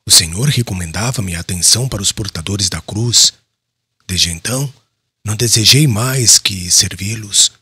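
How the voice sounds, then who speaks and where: An actor playing a devout, compassionate male apostle and church leader in an audiobook drama, solemn and earnest, with a husky voice. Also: on the low side, moderate pace, bright, forceful tone, no room sound, studio quality, exaggerated voice. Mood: solemn, reverent.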